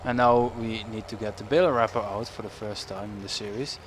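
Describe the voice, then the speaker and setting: A man's voice: lowish voice, fairly even intonation, fairly quick. A young man with a Dutch accent giving rambling, self-correcting commentary over farming game footage, chuckling now and then. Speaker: gaming YouTuber. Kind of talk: casual gameplay commentary. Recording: game engine noise behind voice. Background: farm machinery and ambient game sounds.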